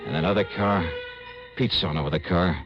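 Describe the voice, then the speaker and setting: An actor playing a tough, shrewd American insurance investigator in vintage radio noir, moving between wry narration and urgent dialogue. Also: male voice, low voice, normal speed, music in the background. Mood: brooding, deducing. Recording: muffled old radio recording.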